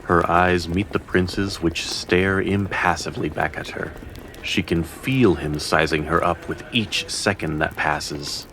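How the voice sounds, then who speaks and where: A man's voice: low voice, unhurried, a bit bright, a little hiss or hum, poor audio. A man narrating a fantasy audio drama as a solemn storyteller, in scripted dramatic style. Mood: tense, ominous.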